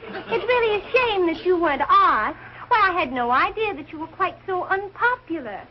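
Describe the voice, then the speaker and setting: A woman's voice: extremely high pitch, animated, normal speed. A woman playing a snobbish, catty rich teenage girl with an affected, gushing, falsely sweet manner in a 1940s television sitcom. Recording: dull, hissy old television soundtrack.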